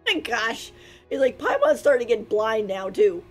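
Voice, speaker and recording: A woman's voice: on the high side, big pitch swings, a little slow, faint music behind. A woman streamer giving casual, giggly commentary while playing, often poking fun at the characters. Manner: giggly, mocks the characters' lines.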